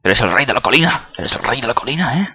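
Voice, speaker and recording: Swearing, chatty gamer man, bright voice. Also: mid-range voice, big pitch swings, fast talker, husky. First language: not Spanish. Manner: chatty, swears a lot, jokey, startled outbursts. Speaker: gaming video creator. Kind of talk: casual let's-play commentary.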